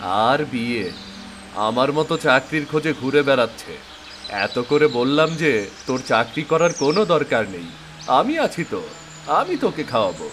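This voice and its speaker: Guy doing a struggling old pal in a cartoon, resigned but really up and down, clear chirpy outdoor noise behind. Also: mid-range voice, sing-song, normal speed, lightly acted. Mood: resigned, downcast. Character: struggling, protective older brother and old friend. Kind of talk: acted cartoon drama dialogue. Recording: noisy outdoor ambience with birds, insects.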